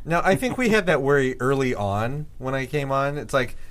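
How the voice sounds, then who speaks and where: Male co-host, mid pitch, relaxed explainer; good for casual podcast reads. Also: normal speed. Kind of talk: casual chat, explaining game rules.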